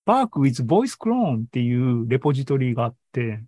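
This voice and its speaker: Man presenting casually, halting, dry sound. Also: mid-range voice, very animated, mellow, dry recording. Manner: halting, thinking aloud. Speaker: podcast host and tech presenter. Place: online video meeting talk, dry close sound.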